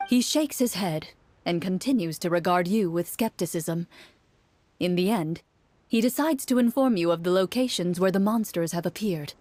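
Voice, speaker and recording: A woman's voice: fairly low pitch, normal speed, darkish tone, dry recording, lightly acted. Woman as a dramatic fantasy game master. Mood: grave. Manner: dramatic, articulate storytelling. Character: female game master narrating a fantasy adventure. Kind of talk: scripted second-person game narration.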